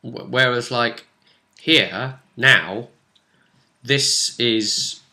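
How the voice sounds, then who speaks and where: A man chatting casually as a game master, recapping a tabletop role-play session with animated storytelling and asides. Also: medium pitch, drawn-out words.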